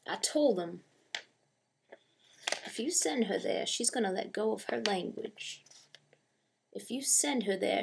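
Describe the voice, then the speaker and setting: A woman acts out a bitter old grandfather in a dramatic monologue. The speech is slow, plainspoken and gruff, with creak in the voice. The temper is stubborn and wounded, grumbling with resignation. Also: mid-range voice, a little hiss or hum.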